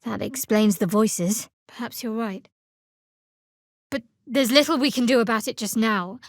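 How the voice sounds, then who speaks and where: Young female dark cleric, fantasy game, sardonic, guarded, slightly high pitch, close and echo-free. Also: a little slow. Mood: composed, solemn. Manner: dry, guarded, wry delivery.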